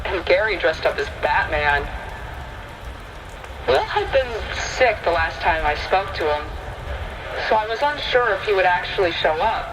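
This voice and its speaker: A man's voice: high voice, lively intonation, measured pace, pauses often, noisy background. A man narrating a scripted first-person horror story. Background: rain and thunder ambience.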